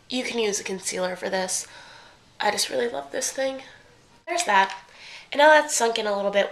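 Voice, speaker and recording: A woman's voice: a little high, fairly bright tone. Chatty congested young woman vlogger. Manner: chatty, rambling, self-correcting. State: congested from a cold. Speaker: beauty vlogger. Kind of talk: casual makeup tutorial.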